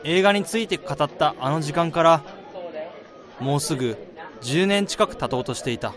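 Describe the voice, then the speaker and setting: A man's voice: fairly high pitch, moderate pace, lightly acted. A man acting in a radio drama as an easygoing married friend of a groom, around thirty, casual and bantering. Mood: wistful narration. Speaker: lead actor and narrator. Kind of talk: radio drama acting with first-person narration. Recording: busy crowd noise behind the voice.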